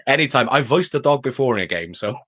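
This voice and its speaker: A man's voice: mid-range voice, lively intonation, quick pace, fairly bright tone. A man, a voice actor chatting casually as an interview guest. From Wales.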